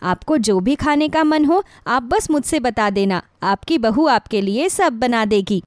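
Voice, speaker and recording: Woman voicing a high-pitched, sweet, dutiful young bride. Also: lively intonation, a little slow, dry recording, clean studio sound. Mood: reassuring. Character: young, sweet, dutiful, eager-to-please new daughter-in-law. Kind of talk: acted dialogue in a moral story.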